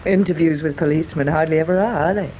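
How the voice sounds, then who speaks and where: An actress playing a guarded daughter who coolly and crisply shields her mother from a police inspector in a radio drama. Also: deep voice, quick pace, a little hiss or hum, lightly acted. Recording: dull lo-fi radio recording.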